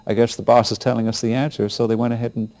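A middle-aged man speaking unscripted in an indoor interview, with halting, conversational phrasing. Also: mid-range voice, flat delivery, mellow. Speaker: interviewee commenting on racing history.

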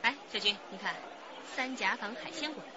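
A woman's voice: fairly high pitch, expressive, moderate pace, close to an everyday voice. An actress playing a confident, demanding young woman dining out in a radio drama. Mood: curious, assured. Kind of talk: Chinese radio drama acting. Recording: dull audio in noisy crowd.

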